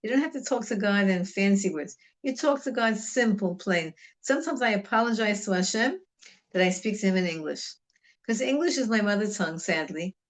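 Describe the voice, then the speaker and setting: A woman's voice: mid-range voice, animated, a little fast. A woman teaching an informal religious class on the Psalms, talking in a conversational, digressive way and mixing Hebrew terms into her English. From United States.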